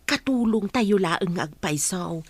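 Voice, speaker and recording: A woman's voice: mid-range voice, expressive, takes it slowly, dry recording. A Filipino woman playing a concerned, disapproving household maid in a radio drama. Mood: troubled, resentful.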